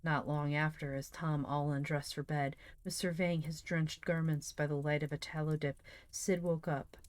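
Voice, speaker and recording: A woman reading aloud and chatting on a livestream, expressive and enthusiastic in manner. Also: low-pitched, moderate pace. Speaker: streamer reading books aloud; teacher. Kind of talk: expressive audiobook-style reading with casual livestream chat.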